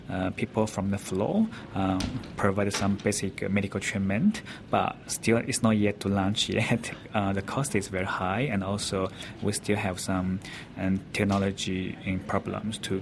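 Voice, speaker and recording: A man's voice: on the low side, vocal fry. A man with a Chinese accent explaining things in an interview in hesitant, non-native English. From China. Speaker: robotics company representative. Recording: noisy recording at a public event.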